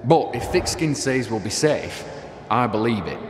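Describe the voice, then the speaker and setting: A loyal, deferential frontier marksman in a game, played by a man. His pitch moves in a lively way, and the sound has long reverb and clear noise. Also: normal speed, close to an everyday voice. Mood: earnest.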